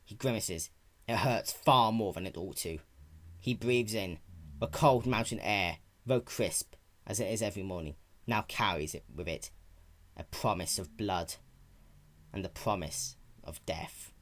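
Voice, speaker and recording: A man performing a grave, ominous storyteller, narrating a dark fantasy storybook in a slow, hushed, portentous manner. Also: fairly low pitch, pitched below the performer's own voice.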